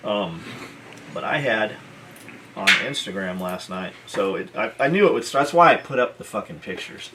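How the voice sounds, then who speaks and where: A man hosting a military gear video chats off the cuff, blunt and profane, with halting explanations. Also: fairly low pitch, lively intonation. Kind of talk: casual unscripted chat. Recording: clear background noise.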